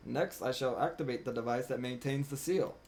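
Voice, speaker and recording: A man's voice: mid-range voice, a little fast, darkish tone, a little hiss or hum, close to an everyday voice. Man reading as a grandiose, cryptic talking cat. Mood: solemn, cryptic. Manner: archaic, formal, grandiose. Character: cryptic, self-important talking cat on a solemn mission. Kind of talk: reading game dialogue aloud. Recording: music behind the voice.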